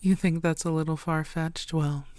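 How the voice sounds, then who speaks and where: A woman playing a coy, secretive vampire who poses as a tired blood bank worker, speaking softly and playfully in an intimate audio roleplay. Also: low-pitched, level tone, normal speed, no echo, natural voice. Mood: musing, playful. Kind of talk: intimate scripted audio roleplay addressed to the listener.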